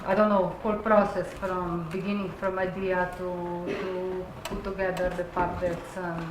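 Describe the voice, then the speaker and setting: A woman's voice: fairly low pitch, flat delivery. Slovenian-accented woman in an echoey, noisy room. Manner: halting, searching for words in simple non-native English. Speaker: puppet designer and puppeteer. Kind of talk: informal Q&A talk after a show. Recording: roomy, noisy live event recording.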